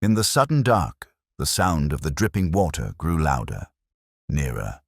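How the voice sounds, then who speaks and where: A man narrating a scripted detective story in a measured, atmospheric storytelling manner. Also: very deep voice, very animated, creaky, no room sound, studio quality.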